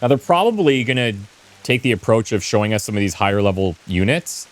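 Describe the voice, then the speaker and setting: A man's voice: lowish voice, very animated, fairly quick, soft background music. A man giving casual commentary as he plays a video game. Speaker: host of a gameplay series.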